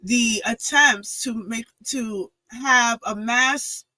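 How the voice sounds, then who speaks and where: A woman guest on an interview podcast, talking with a forceful, pressing tone. She works through her analysis point by point, often restarting her sentences. Her voice is close and dry, with no room sound. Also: a little high, extremely slow, dry recording, poor audio. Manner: analytical, builds arguments with frequent restarts.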